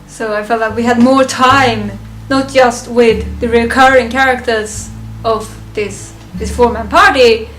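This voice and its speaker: A woman giving a casual, unscripted fan review of a ranked list, halting and thinking aloud, often chuckling. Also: fairly high pitch, steady tone, fairly bright tone, slight background noise.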